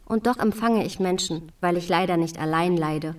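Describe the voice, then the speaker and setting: A woman's voice: lowish voice, normal speed, distant mic, lightly acted. An actress playing a proud, resolute early 19th-century queen reading her letters to her husband in a formal, imploring way. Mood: pleading, resolute. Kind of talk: dramatized letter reading in a radio play.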